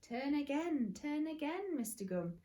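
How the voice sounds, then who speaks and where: A woman voicing a serene, mysterious little boy in a children's storybook, gentle and solemn. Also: sits high, very animated, measured pace, voice pushed up. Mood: gently urging.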